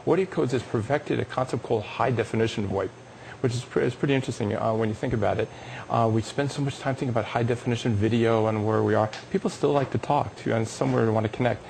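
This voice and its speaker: A man's voice: medium pitch, fast talker, vocal fry, darkish tone, a little hiss or hum, low-quality recording. A man being interviewed as a company executive on a live business TV show, explaining technology with emphatic enthusiasm.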